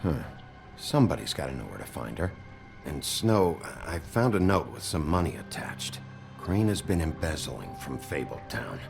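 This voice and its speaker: An actor playing a gruff, weary sheriff detective in a noir video game, with a gravelly voice and a terse, blunt manner. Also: male voice, very animated, normal speed, music in the background, heavily acted. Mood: grim, determined. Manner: terse, blunt, low-key. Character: gruff, weary adult male fairy-tale sheriff detective.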